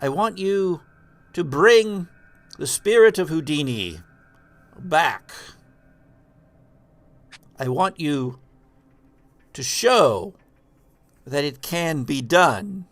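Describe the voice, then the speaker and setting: Guy putting on a spooky old horror movie host, super slow and grand, dry close sound. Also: a little high, expressive, dry recording. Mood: solemn, commanding. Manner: slow, portentous, halting pauses. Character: theatrical spooky male hologram host, grave and grandiose. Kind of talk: old horror-movie monologue in a tabletop game.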